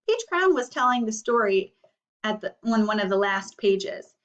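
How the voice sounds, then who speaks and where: Woman teacher doing a kids read aloud, explains and asks questions, high voice, bit far from mic. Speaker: elementary school teacher. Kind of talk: read-aloud lesson for children. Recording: slightly muffled, distant microphone.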